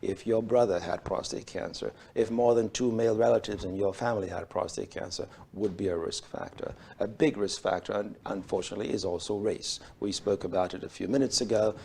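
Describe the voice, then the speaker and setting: Gravelly-voiced man with a foreign accent. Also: fairly low pitch, fairly even intonation, dark tone, a little hiss or hum. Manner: measured, formal, frequent "uh" pauses. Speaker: urologist guest. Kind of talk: calm medical explanation.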